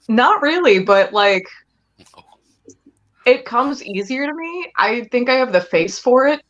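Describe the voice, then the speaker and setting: A woman's voice: on the high side, animated, takes it slowly, a bit bright, muffled recording. A woman chatting casually as a guest actress in an interview. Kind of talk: casual chat.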